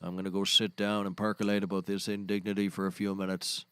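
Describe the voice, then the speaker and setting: A man's voice: low-pitched, flat delivery, fast talker, smooth, no room sound, close to an everyday voice. A man playing a folksy, eccentric, self-serious storyteller in a scripted comedy, rambling in a grandiose way. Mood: indignant. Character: folksy, eccentric, self-serious male roast speaker telling tall tales. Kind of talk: scripted audio comedy acting.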